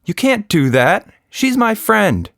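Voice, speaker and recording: A man's voice: sits high, big pitch swings, takes it slowly, creaky, fairly bright tone, dry recording, clean studio sound, voice pushed up, exaggerated voice. A man voicing an indignant, protective little girl in a children's story.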